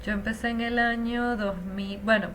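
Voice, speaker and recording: A woman's voice: on the high side, flat delivery, moderate pace, a loud crowd. A Venezuelan woman in her thirties, an interview guest who talks in a chatty, reflective way. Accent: Venezuelan Spanish. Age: 30s. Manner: chatty, reflective, frequent fillers. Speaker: interview guest, Spanish teacher. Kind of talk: casual conversational interview.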